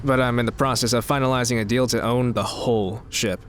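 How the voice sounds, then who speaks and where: A man playing a boastful, self-important showbiz mogul in an audio drama, smooth-talking as he courts. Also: medium pitch, steady tone, fairly quick, noisy background, close to an everyday voice. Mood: showing off.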